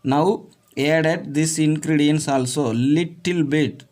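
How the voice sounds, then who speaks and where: Slow, halting man. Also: a little high, steady tone, darkish tone. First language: Tamil. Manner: halting broken English, repeats "okay" between phrases. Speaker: presenter. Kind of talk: home remedy cooking demonstration.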